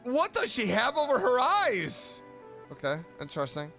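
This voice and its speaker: A man's voice: very high-pitched, wildly swooping pitch, normal speed, pushed and bright. A man giving casual, exclamatory gameplay commentary as a streamer, muttering his reactions. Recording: game music behind the voice. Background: game music.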